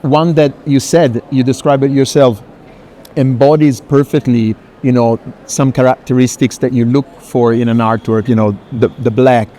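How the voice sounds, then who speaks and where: A man's voice: medium pitch, fairly even intonation, normal speed, dark tone. A man moderating a casual conversation at an art fair, thoughtful and often rephrasing as he goes. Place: art fair, walking tour. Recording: faint noisy fair hall ambience.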